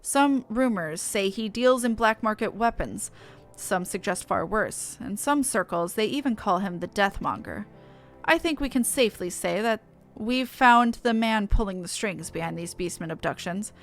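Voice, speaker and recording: A woman voicing a grave, eloquent investigator from a fantasy game in a formal, measured, dramatic delivery. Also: mid-range voice, faint music behind, natural voice. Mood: ominous.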